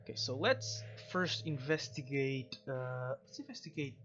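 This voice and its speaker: A man's voice: mid-range voice, lively intonation, measured pace, a bit bright, dull audio, soft background music. A man doing casual, rambling let's-play commentary, reading game dialogue aloud and adding jokey asides. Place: at home.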